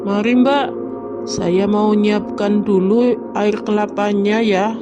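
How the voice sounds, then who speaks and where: A woman's voice: mid-range voice, fairly even intonation, slow pace, muffled recording, lightly acted. Woman playing a polite household maid. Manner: polite, servile. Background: music.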